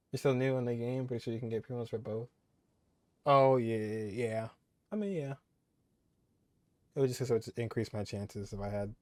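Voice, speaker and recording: A man's voice: lowish voice, no echo. A young man giving casual, joking, skeptical commentary as he plays a game. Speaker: gamer, let's player.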